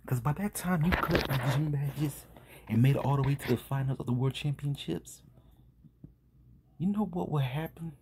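A man giving informal video commentary as host, husky and breathy, emphatic and halting, full of rhetorical questions. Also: on the high side, a little mellow, slight background noise.

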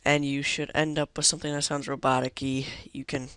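A young man giving a casual software tutorial in an offhand, rambling way. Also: a little high, little pitch movement, fairly quick, clean tone, dry recording. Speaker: tutorial host.

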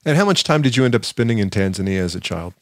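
A man's voice: lowish voice, animated, fast, no room sound, clean studio sound. A man with a Southern US accent speaking as a podcast host, presenting and interviewing. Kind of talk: scripted introduction and interview questions.

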